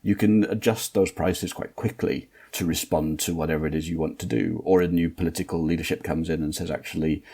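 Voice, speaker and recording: Northern English male guest, deep husky voice, measured explainer. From Manchester, northern England. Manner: explanatory, steady, lots of fillers. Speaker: guest, transport planner. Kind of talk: podcast interview, explaining.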